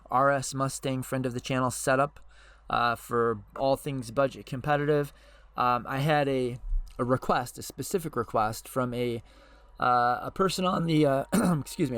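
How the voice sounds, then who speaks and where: Man, hoarse voice, casual rambling host, average pitch, unscripted. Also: a bit bright. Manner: rambling with frequent uh and restarts. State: hoarse, losing his voice. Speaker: channel host.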